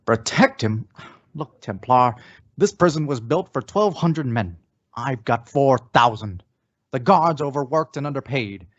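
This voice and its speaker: A man's voice: mid-range voice, lively intonation, unhurried. Man playing a gloomy prison warden, muffled sound. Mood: weary, exasperated. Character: gloomy, overworked prison warden, weary of the system. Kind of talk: old-time radio drama acting. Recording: muffled, band-limited audio.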